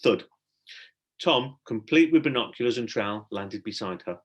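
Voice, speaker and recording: A male teacher reads a storybook to children. His voice sits at an average pitch, and the narration is expressive and meant for young listeners. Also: normal speed, breathy edge, lo-fi sound. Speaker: primary school teacher reading aloud.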